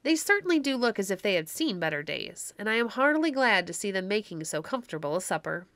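A woman's voice: mid-range voice, big pitch swings, quick pace, fairly bright tone, no echo. A woman voicing a kindly, humble old husband in old-fashioned storybook speech. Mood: mild, content.

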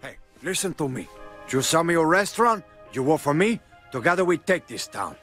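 A cocky Cuban gangster boss in a video game. His voice sits fairly high and swings widely in pitch, full of menace. Music plays behind him. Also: very animated, moderate pace, fairly bright tone. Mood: persuasive. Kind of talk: video game cutscene acting.

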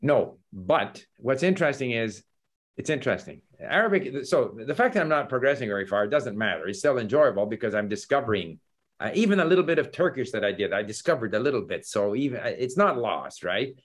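A man's voice: mid-range voice, fairly quick. Older man chatting on a video call. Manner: animated storytelling with gestures, chuckles. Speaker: language learning host. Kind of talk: casual video call chat. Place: home study with bookshelves. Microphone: laptop webcam video call.

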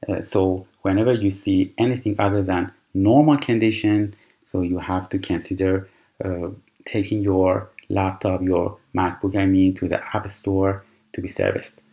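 A man whose first language is Persian, calmly walking through a computer tutorial with fillers and restarts. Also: sits low. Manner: unhurried, explanatory, with frequent fillers and restarts. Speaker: tutorial presenter. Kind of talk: screen-recorded software tutorial.

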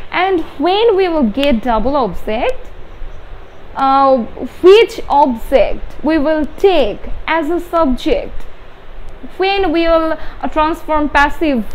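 A young adult Bengali-speaking woman with a Bangladeshi accent, explaining grammar step by step as a teacher, halting and repeating key words with emphasis. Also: high voice, poor audio. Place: small indoor room with whiteboard. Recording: steady background room noise.

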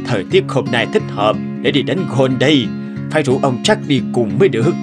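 A man's voice: medium pitch, animated, brisk, loud music behind the voice, natural voice. A man playing a laid-back elderly husband in a cartoon, offhand and drawling. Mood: idle musing.

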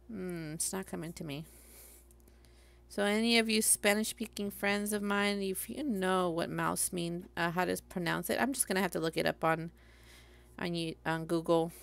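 A woman's voice: medium pitch, creaky, fairly bright tone. A Puerto Rican woman with a Puerto Rican accent, chatting casually and rambling while she glues a craft at her home work table, often laughing at herself. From Puerto Rico. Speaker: crafting livestreamer.

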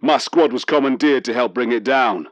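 A man's voice: medium pitch, moderate pace, no echo, lightly acted. A man voicing a male soldier who reports in a matter-of-fact way in an audiobook. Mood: steady.

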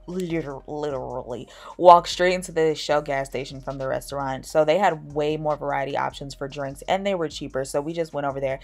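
A woman's voice: sits low, fairly even intonation, brisk, hardly any pauses, darkish tone, dry recording. A woman, chatting and laughing through a casual food vlog, filmed on a handheld camera in a car and a small restaurant. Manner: chatty and playful, laughs a lot. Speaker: vlogger. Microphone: handheld camera at arm's length. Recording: music and crowd chatter behind.

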